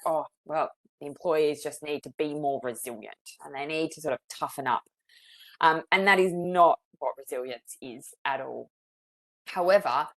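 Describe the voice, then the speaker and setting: A woman with an Australian accent, a psychologist in her thirties, leading a webinar in a friendly, chatty way with many fillers. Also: deep voice. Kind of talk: webinar presentation. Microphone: video call.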